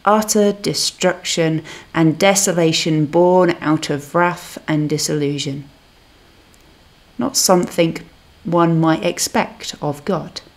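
A woman minister reading a scripted devotional reflection in a measured, solemn manner. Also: low voice, fairly even intonation.

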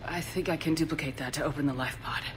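A woman voicing a decisive female soldier commander who gives brisk orders in a game cutscene. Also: sits low, very noisy, lightly acted. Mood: dry. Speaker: squad commander. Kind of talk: video game cutscene dialogue. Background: game music.

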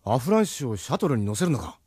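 An actor playing a steady, fatherly captain in an audio drama, blunt and reassuring. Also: mid-range voice, fast, slightly rough, no echo, clean studio sound. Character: steady, fatherly male captain of a resistance crew. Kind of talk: Japanese audio drama acting.

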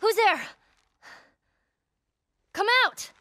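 A woman's voice: very slow, bright, forceful tone, no echo. A woman playing a wary, tough American teenage girl survivor in a video game, talking in a curt, guarded way. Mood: threatening. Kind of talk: naturalistic video game acting.

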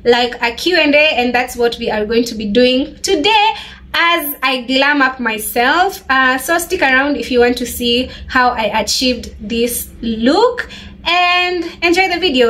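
A woman in her twenties, chatting in a lively way to her webcam while she does her makeup and answers viewers' questions. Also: high-pitched. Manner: bubbly, animated, laughs often. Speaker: beauty vlogger. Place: small bedroom, indoors.

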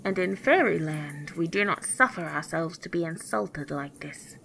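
A woman's voice: low-pitched, normal speed, gravelly, sharp tone, a little hiss or hum, full character voice, low-quality recording. A woman voicing a tall, ugly, ancient fairy, threatening and imperious, in a storybook tale. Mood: threatening, indignant.

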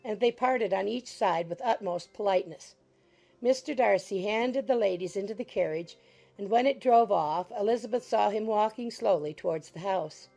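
A woman reads a classic novel aloud in a mellow, darker voice, with even and composed pacing. Gentle music plays faintly beneath the narration. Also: medium pitch, creaky. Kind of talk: scripted audiobook narration.

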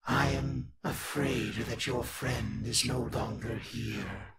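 A man's voice: medium pitch, steady tone, slow pace, vocal fry, over music. A man voicing a sinister, coldly gloating animatronic villain in a video game. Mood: cold menace. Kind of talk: video game character acting.

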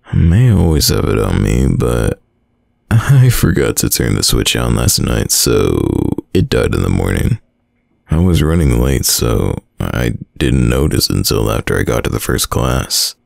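Very deep, gravelly shy boyfriend played by a man. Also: very animated, measured pace, darkish tone, dry recording, studio quality, exaggerated voice. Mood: bashful, defensive. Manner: hesitant, stammering, nervous chuckles. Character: shy, smitten young male student gamer, a caring crush. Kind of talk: intimate ASMR boyfriend roleplay.

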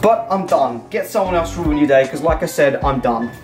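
A man's voice: on the high side, lively intonation, a little fast. A young man with an Australian accent commentating on a horror game, exasperated and sarcastic, often swearing and repeating himself. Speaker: gaming YouTuber. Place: small room at home. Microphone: desk microphone on boom arm, headphones on. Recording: loud game audio over the voice. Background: loud game music and machinery sounds.

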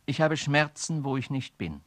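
A man's voice: a little high, sing-song, moderate pace, fairly bright tone. A brooding man's inner monologue. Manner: reflective, literary inner monologue. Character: lonely man brooding over phantom pains. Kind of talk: radio play monologue.

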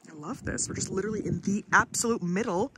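A woman in her thirties vlogging a road trip, gushing and excitable as she chats from outdoors and in a car. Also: medium pitch, fast, heavy background noise. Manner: gushing and excitable, breaks into shrieks. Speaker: travel vlogger. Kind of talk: casual road trip chat.

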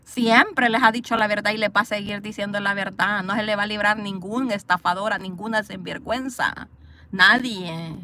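Lady in her car on a phone cam, sharp bright voice, outspoken gossip, calls things straight. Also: medium pitch, steady tone, normal speed. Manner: blunt, scolding, outspoken. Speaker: vlogger reading live comments. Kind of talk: casual gossip commentary. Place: parked car, outdoors view. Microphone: phone camera.

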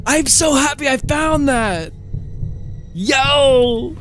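High-pitched, exuberant man shouting. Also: expressive, extremely slow, soft background music. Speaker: gaming YouTuber. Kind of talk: excited let's play reaction. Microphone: close boom mic.

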